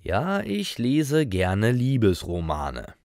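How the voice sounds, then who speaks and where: A man slowly reading example phrases for beginner learners, each phrase set apart. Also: medium pitch, huge pitch swings, darkish tone, no room sound, clean studio sound. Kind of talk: scripted example sentences.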